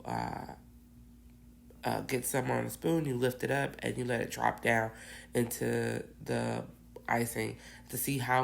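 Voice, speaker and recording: A woman with a raspy, hoarse voice from bronchitis, casually rambling through a cookie-icing tutorial with many ums. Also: rumbling low, a little hiss or hum. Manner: rambling, lots of ums and restarts. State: hoarse, getting over bronchitis. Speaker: cookie decorator.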